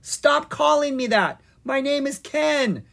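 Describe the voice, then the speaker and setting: A narrator voicing a grumpy, furious male wizard shopkeeper who shouts indignantly in a children's storybook. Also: mid-range voice, unhurried, voice pushed up, close to an everyday voice.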